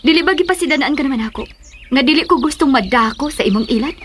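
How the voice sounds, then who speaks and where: A woman's voice: sits high, expressive, fast talker, a bit bright. An actress with a Cebuano Filipino accent playing a guarded young woman in a radio drama, dramatic and questioning. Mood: guarded, distrustful. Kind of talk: Philippine radio drama acting. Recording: radio drama with faint background noise.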